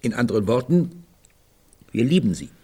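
An actor playing a pompous, sentimental, tipsy artist in a radio play, given to florid phrases. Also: male voice, mid-range voice, very animated, normal speed, mellow, husky. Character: effusive, sentimental, tipsy artist fond of toasts. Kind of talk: German radio play acting.

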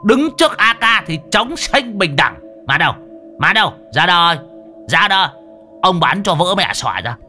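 A reckless old gunman, put on by a man, bellows in a strained, raised voice. His pitch leaps about wildly and faint music plays underneath. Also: big pitch swings, moderate pace, heavily acted. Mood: bellowing. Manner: bellowing, high and loud. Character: brash old male gunman, loud and reckless.

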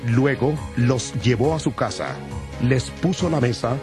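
A man delivers scripted dramatic scripture narration. His voice is mid-pitched, with music playing behind him. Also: animated, measured pace.

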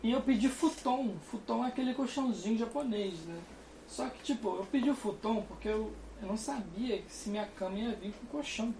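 Young carioca dude rambling about dorm life, chill and laughy, bit of faint background noise. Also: male voice, high voice, fairly quick, a little mellow. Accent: Carioca Brazilian Portuguese. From Brazil. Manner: rambling, laid-back, laughs often. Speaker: guest. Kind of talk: casual chat. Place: dorm room.

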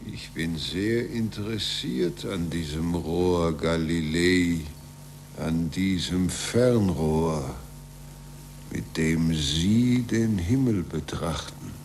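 An actor playing an ominous, coldly curious Cardinal Inquisitor in a 1940s German radio play, on a noisy old recording. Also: male voice, low-pitched, drawn-out words, gravelly, darkish tone, heavily acted.

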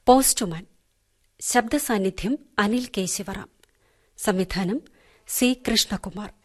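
A woman radio announcer reading credits in a measured, formal way. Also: mid-range voice.

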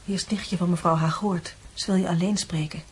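An actress playing a middle-aged, motherly and discreet housewife in a radio drama, brisk and coaxing. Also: lowish voice, steady tone, slight background noise, natural voice.

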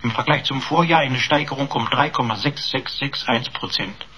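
A man's voice: medium pitch, normal speed, bright, forceful tone, slightly rough. A man playing an eccentric, long-winded computer in a German radio play, speaking in a pompous, flowery, mock-servile manner. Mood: obsequious, officious.

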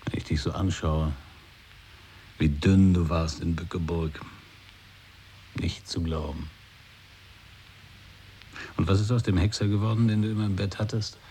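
A man's voice: sits low, fairly even intonation, unhurried, pauses often, warm tone, a little hiss or hum. An actor in a radio play, playing a skeptical man who questions his companion in a dry, probing way. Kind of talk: 1980s radio play acting.

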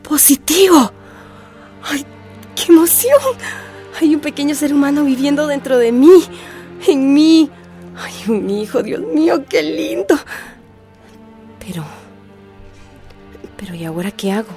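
Anguished young pregnant woman in a radio soap, highish voice, sighing and confiding, music under it. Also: expressive, unhurried, long pauses, mellow. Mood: overjoyed, then anxious. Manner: emotional, confiding, sighing exclamations. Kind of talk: radio soap opera acting.

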